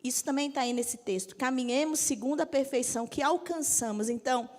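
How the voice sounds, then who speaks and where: Bishop in her fifties with a Brazilian accent, high and lively, teasing the congregation in a reverberant church hall. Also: a little fast. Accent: Brazilian Portuguese. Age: 50s. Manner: lively, jokes with the audience, asks for agreement. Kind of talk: church teaching talk.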